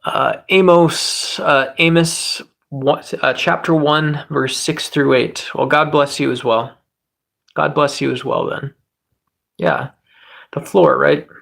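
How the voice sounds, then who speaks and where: A man hosting a livestream, chatting with viewers in a rambling, joking way and swearing freely; he says his voice can turn high and squeaky. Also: fairly even intonation, takes it slowly, gravelly, fairly bright tone. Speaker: livestream host. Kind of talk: casual livestream chat with viewers.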